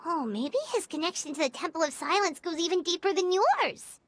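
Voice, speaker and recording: A woman voicing a small, childlike fairy companion in a video game, with a squeaky, eager, outspoken way of talking. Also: brisk, a bit bright, no room sound. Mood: curious, suspicious. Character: small, childlike floating fairy girl companion, chirpy and protective. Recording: game music behind.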